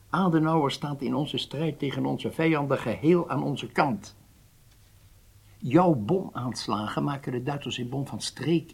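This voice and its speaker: An actor playing a senior Israeli statesman in a Dutch radio drama, deliberate and persuasive, conciliatory but firm. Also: mid-range voice, creaky, husky, exaggerated voice.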